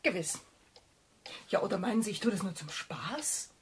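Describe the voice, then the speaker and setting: An actress playing a sharp-tongued, dry assistant to a detective in a 1970s radio crime drama. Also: female voice, medium pitch, animated, moderate pace, a little hiss or hum, lightly acted. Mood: tart, indignant. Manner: tart, dry, scolding.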